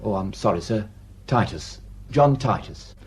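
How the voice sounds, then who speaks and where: A man's voice: lowish voice, expressive, unhurried, darkish tone, slightly rough, full character voice. A man acting a deferential, plain-spoken village sergeant with a Northern English accent in a radio drama. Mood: deferential, urgent.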